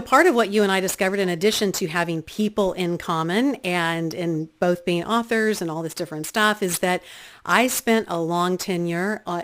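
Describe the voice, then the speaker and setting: Woman podcast host, chatty, middle-aged, average pitch. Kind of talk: casual interview chat.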